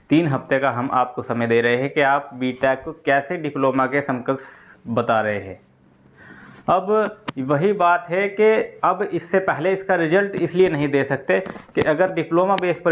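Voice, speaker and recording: A man's voice: a little high, phone-quality sound, a little hiss or hum. A man giving an informal explainer to students as a presenter, going step by step and repeating key points. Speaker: exam news presenter explaining updates to candidates.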